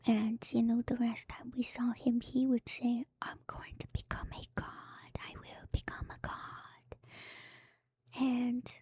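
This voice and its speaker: A woman streamer speaking in a soft, breathy ASMR whisper, gentle and musing as she reads lore and comments casually, softly repeating phrases. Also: a little high, little pitch movement, slow, no echo, studio quality. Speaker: streamer and host.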